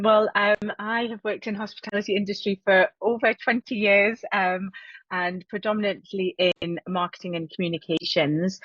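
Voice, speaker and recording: Woman hosting a webinar, low voice, lots of ums, dry dullish sound. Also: a bit bright, no echo, highs cut off. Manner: many fillers, repeated words, friendly. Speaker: webinar co-host, hospitality marketing consultant. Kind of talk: webinar presentation.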